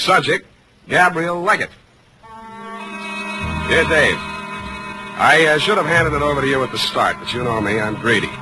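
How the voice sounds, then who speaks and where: An actor playing a wry, hard-boiled private detective in an offhand, teasing way, in 1940s radio drama style. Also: male voice, medium pitch, lightly acted, low-quality recording. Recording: vintage radio recording.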